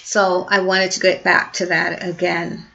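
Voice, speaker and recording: A woman's voice: lowish voice, level tone, unhurried, vocal fry, highs cut off, slight background noise. A woman in her fifties, a health coach, talking casually to her viewers with lots of fillers and restarts. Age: 50s. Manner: warm, chatty, many fillers and restarts. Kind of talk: casual talking-to-camera vlog.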